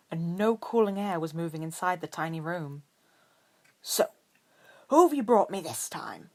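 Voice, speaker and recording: A woman narrating fan fiction as an audiobook reader. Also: fairly low pitch. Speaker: podfic reader.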